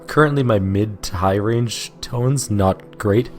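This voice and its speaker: A man recovering from bronchitis with a hoarse voice, casually hosting a game playthrough. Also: fairly low pitch, takes it slowly, soft background music. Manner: relaxed, chatty. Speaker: let's play host. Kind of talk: casual gameplay commentary and reading.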